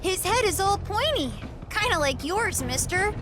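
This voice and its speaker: A woman voicing an excitable, cheeky little child who talks in a blunt, childlike way. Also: extremely high pitch, measured pace, heavily acted. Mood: excited, cheeky. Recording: loud music over the voice.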